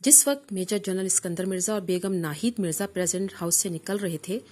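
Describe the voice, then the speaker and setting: A woman with a Pakistani accent narrates a literary memoir in a measured, formal storytelling manner. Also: mid-range voice, darkish tone. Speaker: audiobook narrator reading a memoir.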